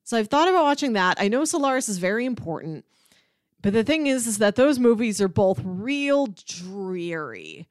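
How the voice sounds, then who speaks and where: Expressive woman with a lively, dry-recorded voice. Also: a little high, moderate pace, no room sound. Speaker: podcast co-host. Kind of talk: casual film discussion.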